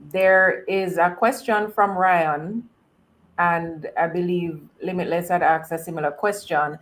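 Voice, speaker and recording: A woman with a low voice acts as moderator on a corporate call. She relays questions from investors and hands over between speakers.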